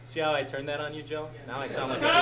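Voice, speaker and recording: A man's voice: very high-pitched, reverberant, very noisy. A young man hosting a podcast, answering audience questions in a casual, rambling, self-deprecating way with frequent laughs. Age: young adult. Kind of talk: casual live Q&A.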